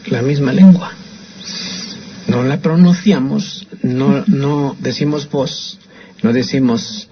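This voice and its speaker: A man's voice: medium pitch, slight background noise. Older mexican guy rambling on the radio, opinionated, kinda muffled sound. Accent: Mexican Spanish. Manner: chatty, opinionated, with frequent restarts. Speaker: radio host and storyteller. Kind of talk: casual radio commentary. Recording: slightly muffled radio broadcast.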